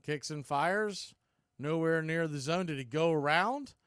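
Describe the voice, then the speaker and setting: A man doing live radio-style baseball play-by-play as the announcer, making clipped pitch calls in short phrases. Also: measured pace, a bit bright, clear, resonant tone, dry recording, clean studio sound.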